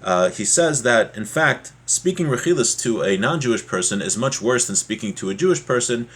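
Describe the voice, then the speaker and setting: A man speaks as a religious teacher in an informal lesson. His voice sits at an average male pitch, and he explains the text in a plain, conversational manner, adding asides of his own. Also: lively intonation, moderate pace, fairly bright tone, husky. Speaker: religious teacher giving a class.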